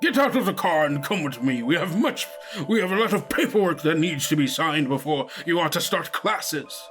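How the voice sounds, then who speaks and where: A man's voice: a little high, expressive, normal speed, a bit bright. A man acts out a large sheep schoolmaster in a tabletop adventure. He is stern and officious, giving bossy orders over background music. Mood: stern, commanding.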